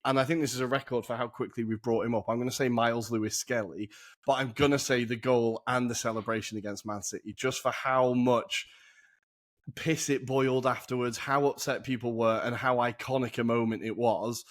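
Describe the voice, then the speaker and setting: A young man hosting a casual football podcast over a video call, chatty and enthusiastic with quick asides. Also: medium pitch, fairly even intonation. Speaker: podcast host. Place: humid room in a city flat.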